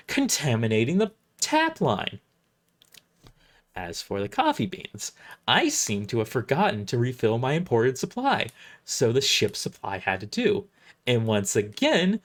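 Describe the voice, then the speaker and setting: A performer voicing an irritable, imperious military starship admiral, narrating an audio drama in formal, wordy first person with stumbles over the script. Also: mid-range voice.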